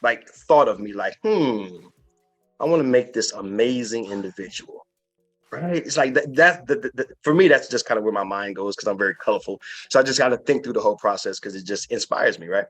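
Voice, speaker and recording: Low-voiced man, emphatic motivational podcast host. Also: expressive, a little fast, fairly bright tone, faint music behind. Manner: earnest, emphatic motivational delivery with repeated phrases. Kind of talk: inspirational podcast interview.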